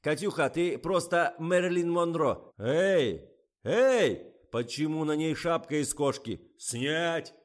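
A man voicing an excitable, hot-tempered advert director in an audiobook, barking orders in blunt slang. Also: mid-range voice, slow, voice pushed up, lightly acted. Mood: excited, commanding.

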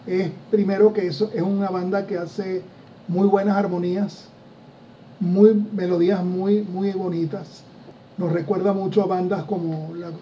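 A man's voice: sits high, recorded from a distance, highs cut off, a little hiss or hum. A man co-hosting a progressive rock music show, chatting casually and enthusiastically about bands, with frequent fillers. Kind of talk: casual radio-style music chat.

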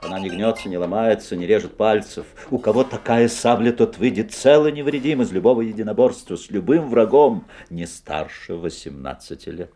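A man's voice: fairly low pitch, expressive, moderate pace, hardly any pauses, mellow, faint music behind, close to an everyday voice. An actor playing a sly magic-shop salesman with courteous, insinuating, theatrical patter in an audio fairy tale. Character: smooth, sly magic-shop salesman, mocking and eerily obliging. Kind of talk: Soviet-era audio fairy-tale acting.

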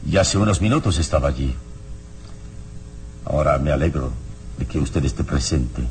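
Noisy old radio drama: enigmatic hero, deep, sweeping intonation, formal and self-assured. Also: male voice, expressive, darkish tone. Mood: grave. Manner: measured, formal, theatrical. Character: serene, enigmatic oriental-robed male hero, courteous and confident.

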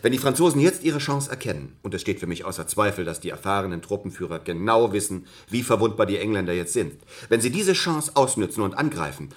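A man's voice: on the low side, sing-song, fast, few pauses. A man giving analytical military commentary in a radio drama, in the role of an expert.

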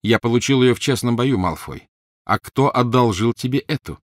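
A man's voice: mid-range voice, normal speed, no room sound, clean studio sound, voice pushed up, lightly acted. A man voicing a brave, defiant teenage boy hero with a mocking edge. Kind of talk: audiobook character voice.

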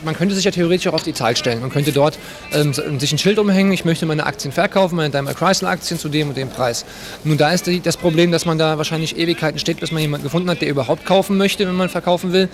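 A man's voice: a little high, quick pace. A man giving a spontaneous, repetitive explanation from the visitors' gallery of a trading hall. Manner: explanatory, repeats himself. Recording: crowd murmur behind voice.

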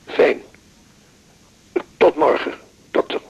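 A man's voice: slow pace, dull audio, natural voice. An actor playing a reserved male patient, hesitant, formal and politely insistent, in a naturalistic radio drama. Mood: polite, grateful.

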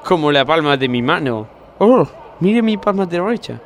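A man's voice: a little high, big pitch swings, normal speed, slight background noise. A man from Argentina acting a naive, literal-minded young female gymnast with an earnest manner in a parody radio play. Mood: anxious. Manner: innocent, earnest, dim.

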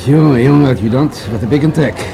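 A man's voice: mid-range voice, fairly quick, vocal fry, lightly acted, low-quality recording. Man acting a genial police commissioner, music underneath. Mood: gently probing. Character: genial unhurried police commissioner, male superior. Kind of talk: Dutch radio drama acting.

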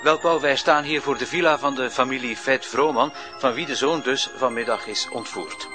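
An actor playing a formal, measured news reporter on location in a radio drama. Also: male voice, medium pitch, over music, natural voice.

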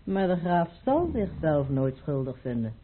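An actress playing a composed, concerned baroness in an old radio drama, formal in manner. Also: female voice, low-pitched, big pitch swings, a little slow, breathy edge, heavily acted. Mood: pointed. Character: poised baroness, concerned mistress of the tsar. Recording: muffled, narrow-band old radio recording.

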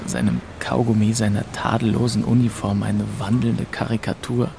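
A man's voice: medium pitch, level tone, moderate pace, gravelly, darkish tone, a little hiss or hum, close to an everyday voice. An actor playing a weary, bitter young man who narrates his own story in an audio drama. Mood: subdued narration. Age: young adult.

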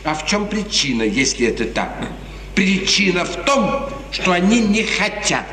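An actor playing a scheming courtier in a 1950s radio drama, speaking in a formal, deferential, theatrical manner. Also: male voice, high voice, very animated, a little slow, sharp tone, exaggerated voice. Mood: reproachful. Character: smooth, scheming male courtier serving a prince. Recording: echoey, noisy, distant 1950s radio recording.

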